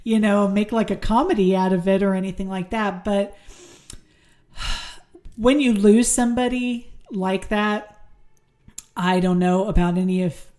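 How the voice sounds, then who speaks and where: A woman's voice: mid-range voice, fairly even intonation, unhurried, long pauses, darkish tone. Chatty woman podcaster, losing her voice. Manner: chatty, lots of ums and restarts. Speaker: podcast host. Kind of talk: casual solo review and news.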